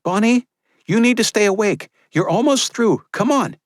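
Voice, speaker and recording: A man's voice: high-pitched, lively intonation, normal speed, no echo, clean studio sound, pitched above the performer's own voice. A man voicing a woman who delivers a baby under pressure, urging and commanding her patient. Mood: urgent. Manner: urgent, pleading, commanding.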